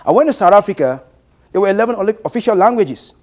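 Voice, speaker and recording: Higher-pitched Cameroonian man giving a talk. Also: lively intonation. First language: a Bantu language. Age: late 30s. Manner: deliberate, emphatic, uses rhetorical questions. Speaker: public speaker, presenter. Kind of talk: motivational presentation to an audience.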